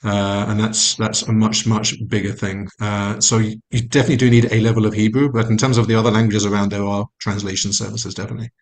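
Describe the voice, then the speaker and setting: Frank, low-voiced male doctor. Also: flat delivery, fast, few pauses. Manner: frank, plain-spoken, self-deprecating. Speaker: family doctor on a webinar panel. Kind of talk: answering questions on a panel.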